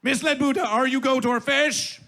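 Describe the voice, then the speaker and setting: A man's voice: extremely high pitch, fairly even intonation, moderate pace, gravelly, pushed and bright. A man working as a festival master of ceremonies, hyping the event in grandiose, repetitive, theatrical proclamations. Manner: grandiose, chanting, repetitive proclamations in carnival-barker fashion. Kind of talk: theatrical hype emcee announcing to a festival.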